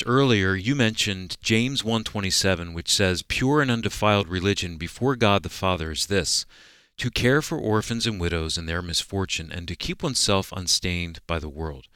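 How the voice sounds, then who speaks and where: A man co-hosting a radio program, reading scripted announcements in a deliberate, clearly enunciated way. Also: low-pitched, a bit bright, no room sound, clean studio sound.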